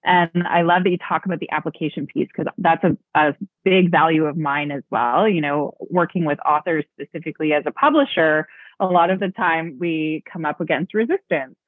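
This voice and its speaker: A woman's voice: low-pitched, brisk, dry recording. A woman hosting a podcast, enthusiastic and gushing, often laughing. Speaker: podcast host and book publisher. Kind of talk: casual podcast interview. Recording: tinny phone-like call audio.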